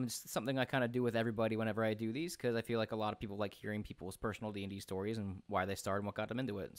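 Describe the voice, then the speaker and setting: Young man, podcast host, fast, rambling, lots of fillers, lowish voice, dry close studio mic. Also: no echo. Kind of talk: casual video call chat. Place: small room at home. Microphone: headset with large studio mic.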